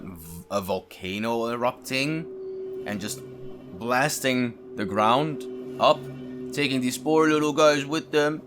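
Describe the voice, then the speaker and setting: A man hosting a gaming video, reacting aloud in casual commentary with exclamations and musing questions. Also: mid-range voice.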